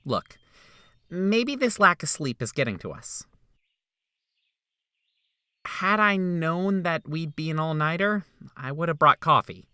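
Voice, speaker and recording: A man's voice: fairly high pitch, very animated, takes it slowly, long pauses, sharp tone, smooth, no echo, studio quality, exaggerated voice. A man playing a goofy, eager but weary doctor in a theatrical, exclamatory way for a game fan dub. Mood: exasperated.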